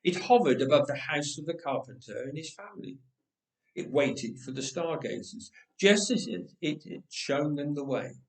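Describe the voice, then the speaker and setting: A man telling a story as an expressive narrator, with measured, dramatic phrasing. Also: on the high side. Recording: roomy echoing voice recording.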